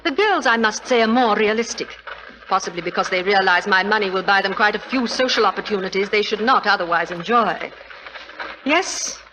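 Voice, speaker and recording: An actress playing a frank, wealthy middle-aged society widow, imperious and chatty, in 1940s radio drama style. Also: female voice, medium pitch, fairly quick, sharp tone, lightly acted. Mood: candid, assured. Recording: noisy old 1940s radio recording.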